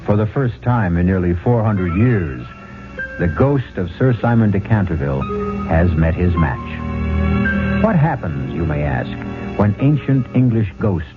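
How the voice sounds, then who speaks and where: Very deep-voiced male radio host. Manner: formal, dramatic, measured. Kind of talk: scripted radio mystery narration. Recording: dull old radio recording, loud music.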